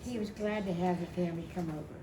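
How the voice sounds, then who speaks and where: A woman in her seventies giving an informal, rambling and emotional personal tribute at a memorial, telling stories in a halting way. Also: on the low side. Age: 70s. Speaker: widow speaking at a memorial. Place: indoor family memorial gathering. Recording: echoey, distant mic, noisy gathering room.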